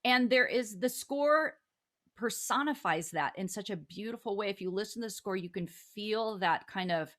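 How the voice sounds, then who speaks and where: A woman's voice: medium pitch, moderate pace, a bit bright. A woman hosting a talk show, chatting casually and with enthusiasm. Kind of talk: casual chat.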